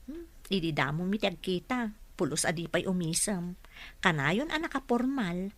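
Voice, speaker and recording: A woman's voice: lowish voice, lively intonation, moderate pace, no room sound. A woman playing a modest, teasing young secretary in a radio drama, with an Ilocano accent.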